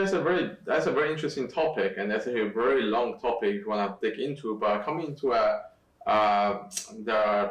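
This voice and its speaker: A middle-aged man from Taiwan, a guest in an interview, speaking in a halting way with many fillers and restarts. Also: medium pitch. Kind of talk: conversational interview. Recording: echoey room, distant from mic.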